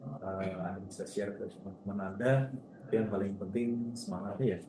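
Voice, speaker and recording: A man's voice: low-pitched, steady tone, normal speed. A man, a university lecturer, giving informal closing remarks to students in a conversational way. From Indonesia. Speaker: university lecturer moderating a guest talk. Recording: echoey room with clear background noise.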